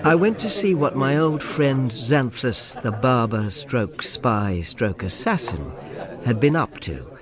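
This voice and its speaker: An actor playing a wry, sardonic Roman imperial agent and uncle, narrating and bantering drily in a radio drama. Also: male voice, mid-range voice, very animated, slow pace, crowd noise. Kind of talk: radio drama acting with first-person narration.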